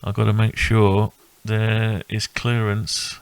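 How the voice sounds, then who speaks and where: Male hobbyist presenter: low, fry-heavy voice, very even intonation, casual halting walkthrough. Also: dry recording, poor audio. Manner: thinking aloud, halting and rambling. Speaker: electronics hobbyist presenting own build. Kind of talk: casual unscripted project walkthrough.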